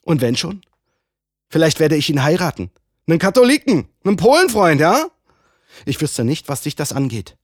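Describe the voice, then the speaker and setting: A man voicing a proud young woman who answers with sharp, defiant scorn. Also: medium pitch, animated, moderate pace, slightly rough, dry recording, voice pushed up.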